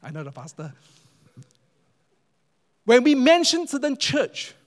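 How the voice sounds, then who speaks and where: A man with a Singaporean English accent preaching a sermon as pastor, animated and emphatic, repeating phrases for effect. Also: very high voice, slow pace. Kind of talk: sermon to a congregation. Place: church auditorium. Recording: long reverb of a large church hall.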